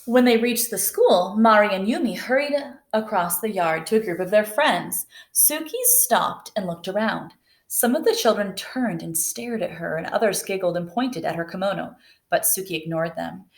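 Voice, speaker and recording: A woman reading a children's picture book aloud in a gentle, unhurried way. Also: medium pitch, animated. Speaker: storybook reader. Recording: echoey room.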